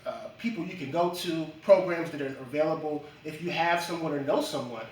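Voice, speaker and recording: A man from Jamaica, a guest in a casual interview, speaking in a measured way with frequent ums and uhs. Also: a little high, slight background noise. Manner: measured, many ums and uhs, lists achievements. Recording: echoey room from a distance.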